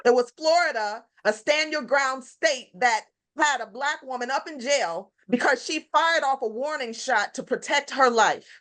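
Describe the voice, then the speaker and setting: High-voiced woman rebutting forcefully. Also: moderate pace, few pauses. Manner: forceful, rebutting, repeats points. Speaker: panelist. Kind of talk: heated panel debate.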